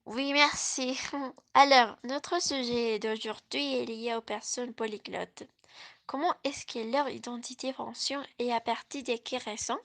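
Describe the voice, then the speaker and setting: Slow, gravelly, high-voiced woman host. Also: fairly even intonation, fairly bright tone. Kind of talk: scripted interview hosting.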